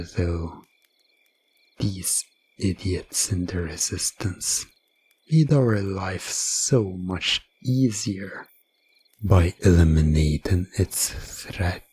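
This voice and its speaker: A man playing a sinister, gloating hypnotist in a hushed, coaxing and commanding hypnosis ASMR drama. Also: deep voice, animated, extremely slow, breathy edge, heavily acted. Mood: smug, commanding. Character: sinister, gloating male hypnotist serving a game master.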